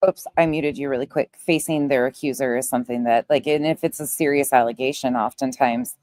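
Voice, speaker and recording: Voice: mid-range voice, steady tone, a little fast, a little mellow, dry recording. A livestream host chatting casually in a conversational, explanatory way.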